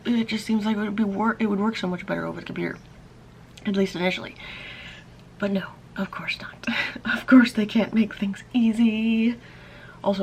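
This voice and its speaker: Young woman vlogger, raspy and tired, gravelly fry, rambling casual gripes, faint hiss behind. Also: medium pitch. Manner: rambling, grumbling with frequent laughs and swearing. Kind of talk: casual vlog chat.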